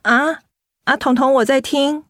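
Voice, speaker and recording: A woman's voice: sits high, steady tone, unhurried, no echo, clean studio sound. Hesitant young woman hiding troubles, Taiwanese Mandarin. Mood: flustered. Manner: hesitant, apologetic, softly evasive. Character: young ailing office woman who hides her troubles. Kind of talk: radio drama acting.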